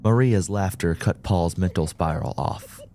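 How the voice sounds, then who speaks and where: A man's voice: deep voice, unhurried, mellow, soft background music. A man reading a scripted story as an audiobook narrator.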